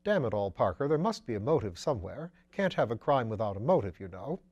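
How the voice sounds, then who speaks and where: A man voicing a breezy, witty upper-class English gentleman detective in a storybook, musing aloud in slangy exclamations. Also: mid-range voice, very animated, fast, muffled recording. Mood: animated, puzzled.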